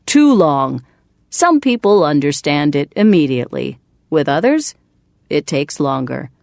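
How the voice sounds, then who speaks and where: A woman reading the part of a kindly, philosophical man in a storybook, gently and reflectively. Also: low voice, sing-song, unhurried, gravelly, dry recording, studio quality, heavily acted. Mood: reflective, gentle.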